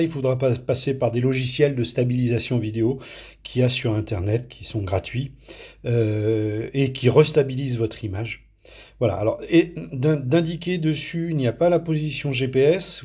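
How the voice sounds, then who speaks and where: A man with a medium-pitched voice talks through a product review. His delivery is casual and unscripted. He speaks hesitantly, frequently searching for the right word as he explains things. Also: dark tone, breathy edge.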